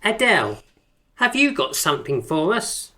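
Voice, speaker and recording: Radio drama: grand, effusive poetry circle leader. Low-set, forceful, animated delivery. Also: female voice, normal speed. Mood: brisk, chiding. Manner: grandly articulate, effusive, theatrical. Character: brisk, pretentious leader of an amateur poetry circle.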